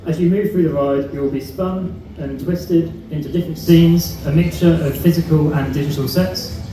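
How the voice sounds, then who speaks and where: A man giving a presentation and answering questions, halting and full of fillers. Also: a little high, fairly even intonation, mellow. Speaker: park presenter. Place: large echoey venue. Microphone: far from the recording camera. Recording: echoey distant room recording with noise.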